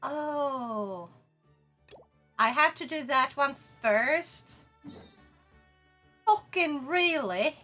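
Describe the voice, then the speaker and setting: A woman's voice: high-pitched, lively intonation, very slow, long pauses, sharp tone, faint music behind. A young woman with a Swedish accent, chatting playfully with her viewers while streaming a game. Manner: chatty and playful, talking to chat while playing. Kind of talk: casual gaming livestream chat.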